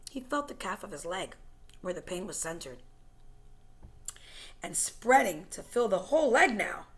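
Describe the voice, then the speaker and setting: A woman reading a story aloud in a dramatic, suspenseful way, moving between hushed whispers and forceful, louder phrases. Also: mid-range voice. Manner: suspenseful and expressive, dropping to whispers and rising to loud, forceful phrases. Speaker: teacher reading a book chapter aloud.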